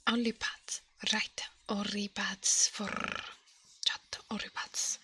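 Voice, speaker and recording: A woman whispering as an ASMR livestream host, in a breathy murmur with playful alien roleplay and repeated syllables. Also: medium pitch, level tone, slow pace, bright, forceful tone.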